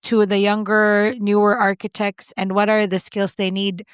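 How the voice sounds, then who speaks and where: Woman podcast host, non-native English accent, run-on sentences, asks for agreement, average pitch, dry close mic. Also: flat delivery, normal speed, clean tone, no echo. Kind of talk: conversational interview.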